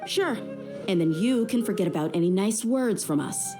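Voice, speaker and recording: A woman's voice: mid-range voice, lively intonation, normal speed, over music, lightly acted. A woman acting a tough, impatient young desert mercenary in a video game, with a blunt, commanding manner. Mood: impatient, threatening.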